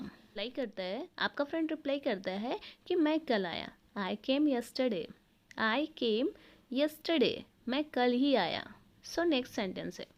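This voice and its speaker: A woman with an Indian accent teaching everyday English sentences in Hindi, saying each English sentence slowly and clearly. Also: a little high, a little mellow. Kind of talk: spoken English lesson in Hindi.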